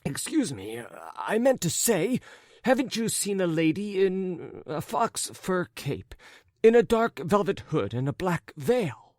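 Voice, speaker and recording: A flustered middle-aged gentleman from a storybook, acted out by a man, pitched high and swooping up and down. He is wordy and apologetic, forever stumbling and correcting himself in an agitated, muddled way. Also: big pitch swings, takes it slowly, no room sound, clean studio sound, heavily acted.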